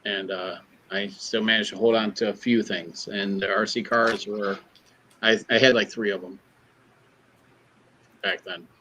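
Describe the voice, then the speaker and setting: A man co-hosting a casual chat, easygoing and halting as he talks. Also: on the low side, steady tone, bright, forceful tone, slight background noise.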